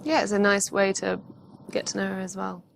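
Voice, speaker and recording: A woman's voice: medium pitch, completely flat delivery, fairly quick, gravelly, background noise, lightly acted. A woman playing a supportive co-coach in a game, commenting on dating advice in a hesitant, agreeing way.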